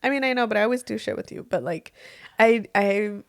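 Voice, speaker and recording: A young woman co-hosting a podcast with a raspy voice, chatting casually in a laid-back, teasing way and swearing freely. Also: mid-range voice, fairly quick. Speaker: podcast co-host. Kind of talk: casual chat.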